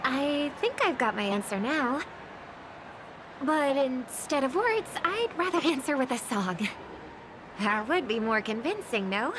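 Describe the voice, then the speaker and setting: A woman playing a gentle, polite young singer in a video game, speaking in a soft, measured, thoughtful way. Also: high voice, sing-song, background noise. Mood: calm, resolved. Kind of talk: video game dub acting. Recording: loud music under the voice.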